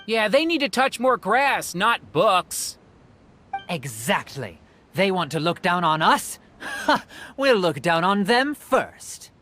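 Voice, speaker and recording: A man's voice: high-pitched, big pitch swings, slow, sharp tone, slight background noise, full character voice. An actor voicing a brash, cheeky townsman in a video game, joking and defiant. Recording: faint music behind the voice.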